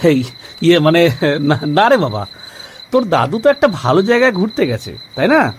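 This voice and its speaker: A man as an animated, coaxing young father. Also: a little high, normal speed, a little hiss or hum. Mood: flustered, evasive. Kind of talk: audio drama acting.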